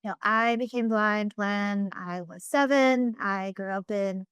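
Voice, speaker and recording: A young adult woman from Vietnam speaking earnestly on a panel, with many ums and pauses. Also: mid-range voice, fairly bright tone, smooth, no room sound. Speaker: assistive technology manager, panelist. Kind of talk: panel talk.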